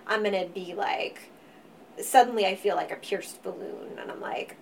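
A woman talking in a relaxed co-host chat about star signs, her voice quiet but rising in volume when she gets excited. She sits a little back from the microphone, so she sounds slightly distant. A faint hiss of room noise hangs behind her. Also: medium pitch, moderate pace, creaky. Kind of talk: casual astrology chat.

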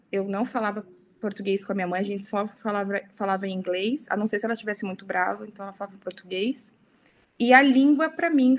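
A young woman with a fairly high voice talks as an interview guest. Her delivery is relaxed and conversational. She keeps tagging her sentences with small checking questions. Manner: chatty, many tag questions. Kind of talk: casual podcast interview.

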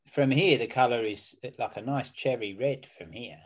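A man's voice: medium pitch, normal speed. A man co-hosting a casual beer tasting podcast, laid-back and wry, swearing casually as he talks. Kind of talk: casual chat reviewing beer.

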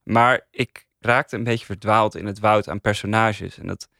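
A man's voice: lowish voice, steady tone, unhurried, gravelly, pushed and bright, dry recording, studio quality. A man talking casually as a critic on a podcast panel.

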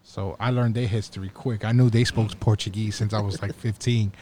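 A young man co-hosting a podcast, telling a bar story in casual banter with friends and laughing loudly. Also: on the low side, fairly even intonation, fairly quick, slight background noise. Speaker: podcast co-host.

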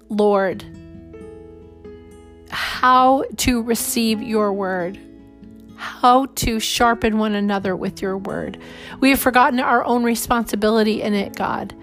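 A woman leading a spoken congregational prayer in an earnest, pleading way, often repeating "God" and "Lord.". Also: on the high side. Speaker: prayer leader. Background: soft guitar music.

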